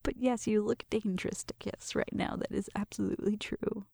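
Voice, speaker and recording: Breathy, whispery flirty roommate played by a woman. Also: mid-range voice, fairly even intonation, moderate pace, no echo, studio quality. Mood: playful, admiring. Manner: soft whispering mixed with murmured talk, shy and teasing. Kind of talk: ASMR roleplay, intimate one-sided conversation.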